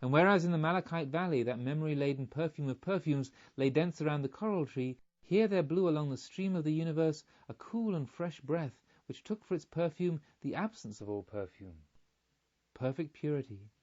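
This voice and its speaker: A man reading a literary audiobook in a measured, formal way, on a slightly muffled recording. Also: on the high side, animated, darkish tone. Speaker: audiobook narrator. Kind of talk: literary scripted narration.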